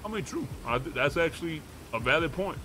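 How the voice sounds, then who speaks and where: A man's voice: on the high side, expressive, fairly quick, background noise. A man roleplaying a pushy, streetwise, profane character in a game, talking in slang. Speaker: roleplay gamer streaming.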